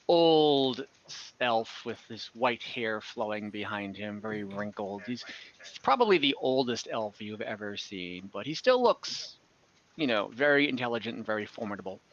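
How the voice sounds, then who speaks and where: A man runs an online fantasy tabletop game as game master, with a forward, bright voice that sits a little low. He narrates haltingly, feeling out his words, and the audio is slightly dull, like an online call.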